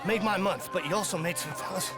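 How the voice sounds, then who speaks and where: An actor playing a boisterous, shady gambler in a game, hailing loudly with music and a crowd behind. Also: male voice, high voice, quick pace, lightly acted. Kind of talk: shouted game dialogue.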